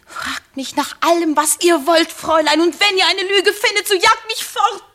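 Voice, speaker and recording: An actress in a 1950s German radio play as a distraught, devoted young goldsmith's daughter, speaking with theatrical fervour. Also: female voice, very high voice, fairly even intonation, normal speed. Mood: earnest, pleading. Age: young adult. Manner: fervent, theatrical, breathless dramatic delivery with hushed passages. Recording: 1950s radio drama studio recording.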